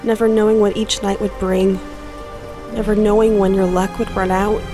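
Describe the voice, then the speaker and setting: A woman playing an earnest, anguished lover in an audio drama, speaking in halting, heartfelt phrases. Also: medium pitch, level tone, over music, lightly acted. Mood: pleading, heartfelt.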